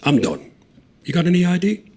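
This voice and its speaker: A man voicing a gruff, blunt older male publican questioning a customer. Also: high-pitched, very animated, brisk, darkish tone, pitched above the performer's own voice, full character voice. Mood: wary.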